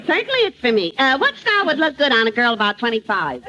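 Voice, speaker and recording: A woman's voice: sits high, wildly swooping pitch, moderate pace, over a noisy crowd. An actress playing a naive, man-hungry young woman who puts on mysterious airs in an old-time radio comedy, with exaggerated swooning delivery. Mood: eager, chatty. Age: young adult. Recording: dull vintage radio recording, noisy studio audience.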